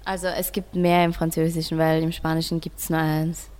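A woman's voice: sits low, flat delivery, moderate pace, darkish tone, smooth. A teenage girl, a school student, informally explaining things to a friend in a hesitant, searching way. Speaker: school student in a radio project.

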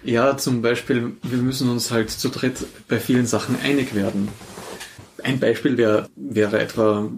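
A man's voice: medium pitch, fairly even intonation, a little slow, slight background noise. A man with an Austrian accent giving conversational answers as an interview guest.

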